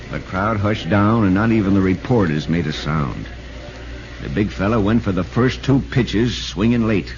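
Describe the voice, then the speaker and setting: A man's voice: medium pitch, natural voice. An American man playing a sportswriter who narrates a ballgame in 1940s radio drama style. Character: excitable male sportswriter recounting a ballgame. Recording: muffled, noisy 1940s radio recording.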